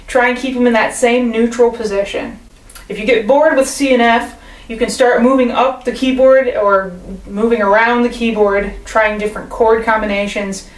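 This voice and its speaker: A young woman calmly and patiently explaining a percussion technique, recorded at home by a camera a few feet away. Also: a little high, normal speed, reverberant, low-quality recording. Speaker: percussion teacher. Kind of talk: instructional demonstration. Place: living room at home.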